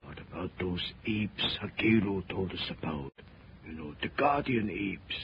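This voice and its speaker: An actor playing an impatient, excitable adventurer in a 1930s radio serial. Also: male voice, deep voice, a little slow, vocal fry, full character voice. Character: impatient, gruff German treasure hunter; also an excitable Frenchman. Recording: muffled, noisy 1930s radio recording.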